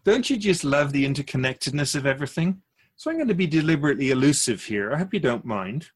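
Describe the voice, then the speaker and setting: A man playing a wise otherworldly keeper of souls in a radio drama, measured and didactic, with gentle, wry teasing. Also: a little high, very animated, husky. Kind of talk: conversational radio drama acting.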